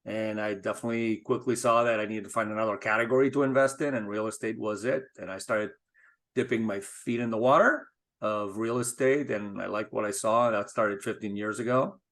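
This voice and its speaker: A middle-aged man from Spain, a guest in an interview, talking in a measured, thoughtful way with frequent restarts. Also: lowish voice, a bit bright. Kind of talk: casual interview talk.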